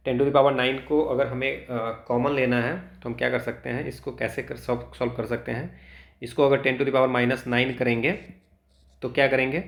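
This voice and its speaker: An Indian man speaks as a tutor walking a student through exam problems. His pace is slow and halting, with a mid-range voice, and he thinks aloud as he works out each step. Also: mid-range voice. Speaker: physics teacher for exam preparation. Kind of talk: instructional walkthrough of exam problems, switching between Hindi and English.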